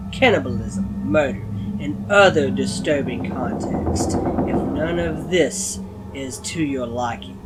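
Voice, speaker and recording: A man reading a scripted warning as an announcer, in an ominous, deliberate manner. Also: mid-range voice, poor audio. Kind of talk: dramatic scripted content warning. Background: loud music.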